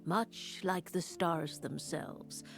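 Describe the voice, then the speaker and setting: A woman voicing a wise, solemn stargazer in a video game, speaking deliberately and poetically. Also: lowish voice, vocal fry, faint music behind, full character voice. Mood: solemn reassurance. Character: wise, oracular female stargazer consoling a friend.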